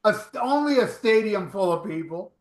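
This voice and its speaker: Older guy, 60, teasing banter on a rock podcast, highish voice, echoey room. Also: expressive, normal speed. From South Florida. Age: 60s. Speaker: podcast co-host. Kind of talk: casual chat.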